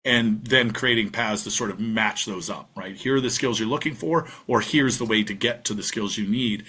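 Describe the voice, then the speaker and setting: A man's voice: lowish voice, normal speed, pushed and bright, breathy edge. A man co-hosting a podcast in casual chat, talking conversationally with frequent "you know" asides. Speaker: podcast co-host.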